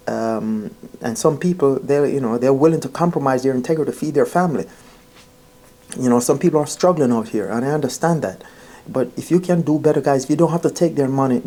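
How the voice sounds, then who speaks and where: A man with a dark, mellow voice at a middle pitch. He talks informally to his viewers like a storyteller, earnest and emphatic. He repeats phrases for weight and often speaks straight to the listener. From Jamaica. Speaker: vlogger.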